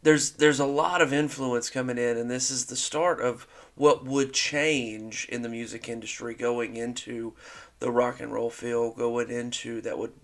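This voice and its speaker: A man's voice: mid-range voice, fairly even intonation, unhurried, creaky. A man hosting a music reaction show, chatting casually and upbeat between his comments. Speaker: host of music reaction channel.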